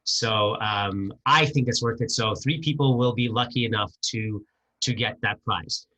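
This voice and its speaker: Male moderator, fairly low pitch, bright forceful voice, enthusiastic, stumbling over words. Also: low-quality recording. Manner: enthusiastic, halting with many fillers and restarts. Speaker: moderator of a virtual book launch. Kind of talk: casual event hosting. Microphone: video call.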